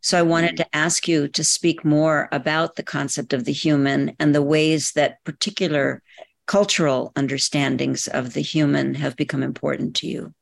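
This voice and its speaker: Older woman, retired academic, some vocal fry, dry and close, speaks in a measured way. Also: sits low, steady tone, no echo. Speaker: guest, professor emerita. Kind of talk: conversational interview.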